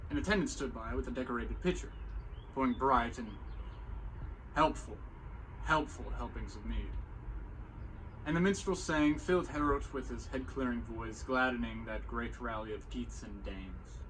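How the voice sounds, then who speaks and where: A man's voice: mid-range voice, moderate pace, a bit bright, pitched below the performer's own voice, close to an everyday voice. A man playing an old bard, narrating heroic tales in a grand, theatrical storytelling manner. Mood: grand narration. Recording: echoey room, clear background noise.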